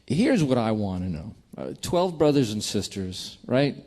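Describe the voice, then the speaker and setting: A man's voice: on the low side, big pitch swings, a little slow, a little mellow. Casual man hosting a radio show. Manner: jokey, teasing. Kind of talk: casual on-stage interview.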